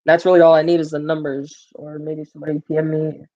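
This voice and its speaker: A man's voice: fairly high pitch, level tone, fast, mellow, muffled recording. A man hosting a casual gaming live stream, rambling with plenty of fillers and joking with his viewers. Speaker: gaming livestream host.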